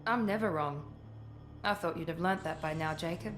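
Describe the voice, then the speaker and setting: Low-voiced, coolly ruthless female operative played by an actress. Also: moderate pace, music in the background, lightly acted. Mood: cool, haughty. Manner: clipped, haughty, businesslike. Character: cool, self-assured, ruthless female covert operative. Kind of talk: video game cutscene acting.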